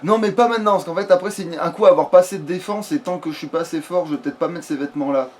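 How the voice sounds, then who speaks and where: A man's voice: on the high side, racing, darkish tone, slight background noise. A man giving casual, rambling let's play commentary, often swearing coarsely. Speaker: video game streamer.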